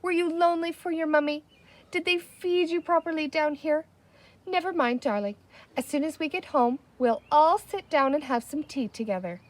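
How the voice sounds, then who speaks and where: Woman acting a bossy, bright high-pitched young girl. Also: natural voice. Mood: tender. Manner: firm, brisk, emphatic. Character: bossy, cheerful, kind young girl leading her siblings.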